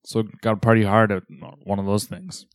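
A man co-hosting a podcast, teasing, his voice slightly raspy and cracking. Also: fairly low pitch, level tone, brisk, dry recording, clean studio sound. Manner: teasing, wisecracking. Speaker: podcast co-host. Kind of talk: casual podcast banter.

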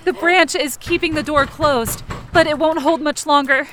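A frightened, determined young woman, played by an actress narrates her ordeal in an audio fiction drama. Her voice is high and her delivery tense and urgent, told moment by moment. Clear noise runs behind the voice. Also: normal speed, lightly acted.

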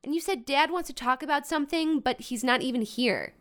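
An actress playing a blunt, sarcastic young American daughter in a naturalistic modern audio drama, quick to retort. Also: female voice, sits high, a bit bright. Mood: defensive, irritated.

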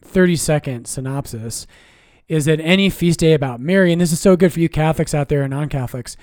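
Relaxed priest, dry mic. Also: male voice, a little high, fairly quick, no room sound. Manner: relaxed, explains doctrine with jokes and self-corrections. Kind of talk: casual podcast chat.

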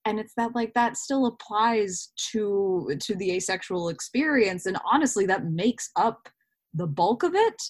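A woman's voice: mid-range voice, animated, measured pace. A woman talking casually in an interview, illustrating her points by acting out imagined remarks. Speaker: interview participant, actor.

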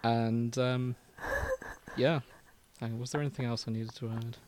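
A man co-hosting a podcast, chatting casually and reading fan fiction aloud with a wry, deadpan manner. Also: medium pitch, normal speed, slight background noise. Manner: wry, deadpan, laughs at his own lines.

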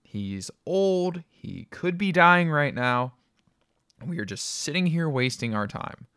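A man voicing an angry, frightened young woman who talks in sharp, demanding bursts. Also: medium pitch, expressive, moderate pace, dry recording, clean studio sound, natural voice. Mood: insistent. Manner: sharp, demanding, pushes back hard.

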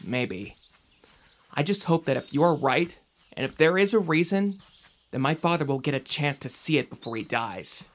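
A man's voice: fairly high pitch, animated, normal speed, a little hiss or hum, lightly acted. An actor playing a doubtful, earnest young man in an audio drama, speaking in a conversational, questioning way.

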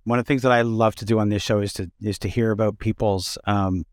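A man's voice: lowish voice, fairly even intonation, fast talker, dry recording, studio quality. A man hosting a casual, conversational interview show. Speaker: podcast host interviewing theatre artists.

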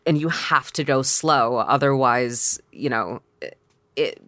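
A man co-hosting a podcast in casual discussion, speaking haltingly with trailing phrases. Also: mid-range voice, sharp tone, no echo. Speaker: podcast co-host.

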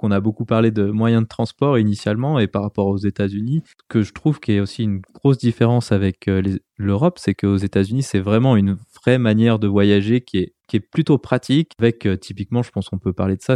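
Brief: relaxed male interviewer, warm dark tone, dry close sound. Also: fairly low pitch, a little fast, few pauses, no room sound. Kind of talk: interview questions.

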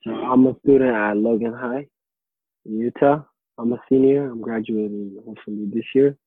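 A young man, answering interview questions in a halting, casual way and often repeating words while he looks for them. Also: medium pitch, steady tone, slightly rough, dry recording. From Somalia. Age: late teens. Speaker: interviewee, high school student. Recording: dry, tinny phone or call line.

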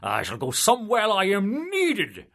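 A man putting on a pompous, high-pitched politician's soul. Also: wildly swooping pitch, unhurried, creaky, fairly bright tone, heavily acted. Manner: haughty, indignant, grandiose. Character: pompous corrupt male politician's soul, self-important.

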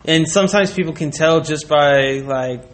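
A man answering as an interview guest in a casual chat, thinking aloud in hesitant, halting phrases. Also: a little high. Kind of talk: casual chat about personal experience.

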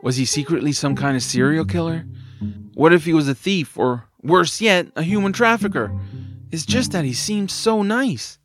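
A man narrating a scripted story in an expressive, dramatised storytelling manner. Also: on the high side, normal speed, faint music behind.

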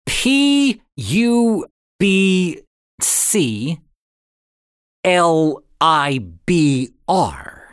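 Slow, highly expressive male story narrator. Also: no room sound, studio quality. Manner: expressive, deliberate, with dramatic pauses. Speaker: audiobook narrator. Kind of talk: dramatic scripted story narration.

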